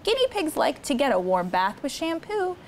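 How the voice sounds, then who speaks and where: A young woman hosting a children's story time, reading aloud in an expressive, sing-song way and chatting with the kids. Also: sits high, moderate pace, a little hiss or hum. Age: young adult. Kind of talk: animated read-aloud to children, with chat. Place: indoor studio set with children seated on a rug.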